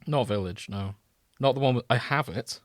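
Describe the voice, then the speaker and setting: A man's voice: mid-range voice, moderate pace, no echo. A man hosting a podcast, talking in a casual, joking, conversational way. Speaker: podcast host. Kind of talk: casual comedic chat.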